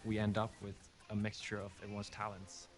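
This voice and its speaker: A man speaking in measured, thoughtful interview soundbites about teamwork. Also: fairly low pitch, monotone. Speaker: map-building team member. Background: music.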